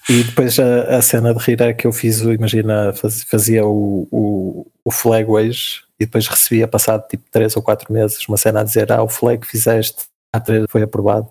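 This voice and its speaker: A man's voice: fairly low pitch, level tone, moderate pace, a little mellow, husky. A man with a European Portuguese accent co-hosting a podcast over a call, talking in a laid-back, slangy way. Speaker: podcast co-host. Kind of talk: casual chat.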